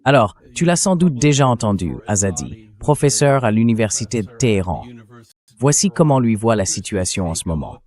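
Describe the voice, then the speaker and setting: Guy hosting political interview, synthetic voiceover, lowish voice but pretty lively intonation. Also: moderate pace. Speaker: interview show host. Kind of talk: political interview, French voice-over translation read by AI voice.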